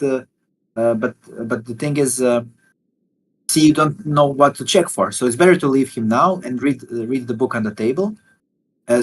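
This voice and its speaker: A man, a game developer, walking through his game in a livestream interview and talking haltingly with many fillers and restarts. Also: medium pitch, a little hiss or hum. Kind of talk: explaining and demoing a game during a livestream interview.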